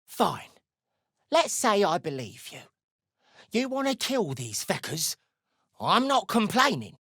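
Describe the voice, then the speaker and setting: A defiant little gnome woman from a fantasy game. Her pitch swings widely and her pace is measured and slow. She is blunt and scornful, in a close, dry studio sound. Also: very animated, a bit bright, husky, no room sound, exaggerated voice. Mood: suspicious, defiant. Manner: blunt, scornful, curses freely. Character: gruff, foul-mouthed female deep gnome, defiant and suspicious. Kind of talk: video game character dialogue.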